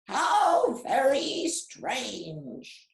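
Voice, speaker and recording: A woman voicing a loud, mocking male parrot in a storybook reading, with a squawking delivery. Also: lowish voice, huge pitch swings, slow, heavily acted. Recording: echoey room.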